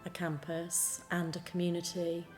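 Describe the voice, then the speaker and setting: A woman's voice: fairly low pitch, little pitch movement, normal speed. A woman representing a college, giving a composed promotional talk. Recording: clear music behind the voice. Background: background music.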